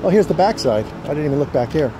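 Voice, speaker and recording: Man vlogging over loud outdoor noise. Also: fairly high pitch, animated, quick pace. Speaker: vlogger, explorer. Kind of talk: casual off-the-cuff vlog narration. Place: outdoors by a roadside building. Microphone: handheld camera phone.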